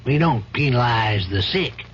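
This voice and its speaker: Man playing a measured judge, noisy old recording. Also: mid-range voice, lively intonation, muffled recording, natural voice. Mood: reasoning, admonishing. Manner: deliberate, rhetorical, admonishing. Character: measured, compassionate, reasoning male judge. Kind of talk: old-time radio drama acting.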